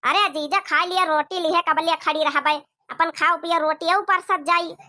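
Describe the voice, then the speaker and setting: A very high, sharp-edged village hostess voiced by a woman for a comedy cartoon. She pleads and coaxes with wedding guests, repeating herself insistently. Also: steady tone, normal speed. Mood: coaxing. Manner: coaxing, repetitive. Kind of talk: amateur comedy cartoon dialogue.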